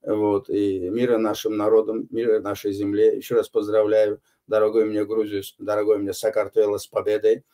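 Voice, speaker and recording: Older man, low mellow voice, deliberate interview answers full of pauses. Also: completely flat delivery. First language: Azerbaijani. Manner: measured, unhurried, frequent hesitations. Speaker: interview guest. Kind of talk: recorded online interview answers.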